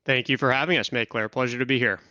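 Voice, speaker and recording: A man from Kansas City speaks as a relaxed, joking podcast guest and fills his sentences with ums and uhs. His voice is bright and crisp. The recording is close and dry. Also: medium pitch, fast, dry recording, highs cut off. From Kansas City, USA. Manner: joking, relaxed, many fillers. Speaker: guest, insurance risk specialist. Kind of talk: podcast interview.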